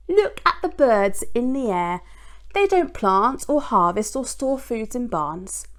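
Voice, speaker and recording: A woman giving a children's church talk in an expressive storytelling way, speaking simply and directly to her listeners. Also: on the high side.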